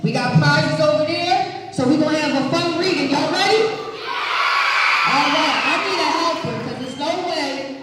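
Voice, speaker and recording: Woman with a high voice reading a picture book to kids, hyping them up, heard from far off in a big echoey hall. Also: normal speed, loud music behind the voice, a loud crowd. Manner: energetic, projecting, engages the audience with questions. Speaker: children's author reading her book aloud to a school audience. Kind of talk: live read-aloud, call-and-response with children. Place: large echoey room at an elementary school. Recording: very echoey hall, distant mic.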